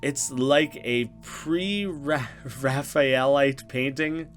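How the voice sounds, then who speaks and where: Man, casual gaming commentary, wry rambling asides, middle pitch, music behind. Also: very slow. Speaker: gaming video host. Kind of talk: casual let's play commentary, reading game text aloud.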